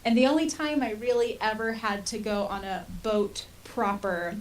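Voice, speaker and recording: A woman's voice: fairly high pitch, steady tone, normal speed. A woman in her thirties chatting casually as a podcast host, telling personal stories in a colloquial, self-deprecating way. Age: 30s. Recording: distant mic with faint hiss.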